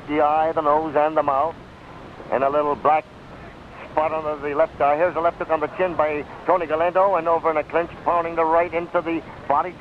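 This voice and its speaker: Old radio fight caller, american guy, highish voice, thin phone-like sound w some noise. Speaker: radio boxing commentator. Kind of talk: 1930s live radio blow-by-blow commentary. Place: ringside at an outdoor stadium. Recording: tinny, noisy 1939 radio broadcast recording.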